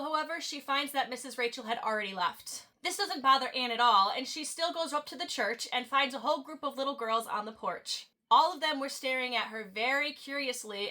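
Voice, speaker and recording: Young woman, nasal and sore-throated, bright voice, chatty book recap to camera, goofy little asides. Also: high voice. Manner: chatty, playful asides, stumbles when reading aloud. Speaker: book channel host. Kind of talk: casual chapter recap with read-aloud quotes. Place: at home, talking to camera.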